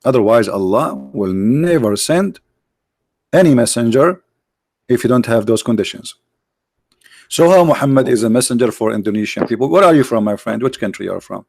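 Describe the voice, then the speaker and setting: A man's voice: mid-range voice, expressive, normal speed, gravelly, darkish tone. A man whose first language is Arabic, hosting a live stream and debating a caller in a pushy, interrupting way, repeating his questions.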